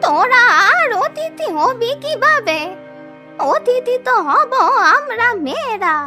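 A woman's voice: very high-pitched, very animated, slow, over music, exaggerated voice. A woman voicing an outspoken married aunt who scolds teasingly in a cartoon family drama. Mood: teasing complaint.

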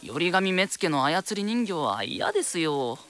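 An actor playing a brash, frank young male hero in a radio drama, with rough, cheeky casual speech. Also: high voice, very animated, measured pace, fairly bright tone, full character voice. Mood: cheeky, questioning. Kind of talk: anime-style radio drama acting.

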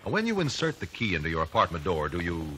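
An actor playing a methodical, confident trial lawyer in an old-time radio drama, American, questioning formally and precisely. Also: male voice, sits low, huge pitch swings, fast, pushed and bright, slight background noise, exaggerated voice. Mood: probing. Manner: precise, formal cross-examining. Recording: raspy vintage 1950s radio recording.

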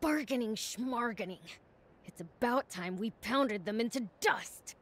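A woman's voice: a little high, expressive, a little slow, a bit bright. A woman voicing a brash, impatient young male demon prince in a video game, blunt and cocky. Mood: defiant, impatient.

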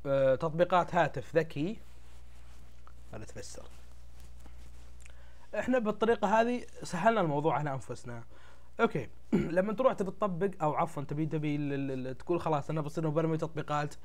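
A young man speaks Gulf Arabic at a very rapid pace, in a fairly high voice. His throat sounds tired and stuffed up as he explains things informally, like a host talking straight to his viewers. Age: young adult. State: congested, changed voice from a tired throat. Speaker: YouTube tech channel host. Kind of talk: casual explainer talk to camera. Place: small indoor room at a desk, webcam recording.

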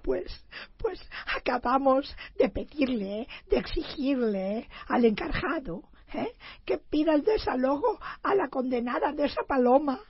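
Woman acting high-pitched, indignant immigrant tenement woman. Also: animated, unhurried, dry recording, lo-fi sound. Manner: scolding, exclamatory. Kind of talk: comic stage radio play acting.

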